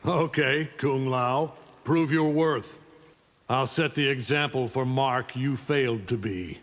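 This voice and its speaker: An actor playing an arrogant middle-aged alien superhuman conqueror in a video game, imperious, threatening and condescending. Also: male voice, mid-range voice, takes it slowly, close to an everyday voice. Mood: cold, domineering. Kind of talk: video game fight-intro dialogue. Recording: long hall-like reverb.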